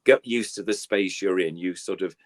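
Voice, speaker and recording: A man's voice: deep voice, moderate pace, no echo, studio quality. An older man with an English accent, chatting casually as an interview guest in a rambling, anecdotal way. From United Kingdom. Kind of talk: casual reminiscing chat.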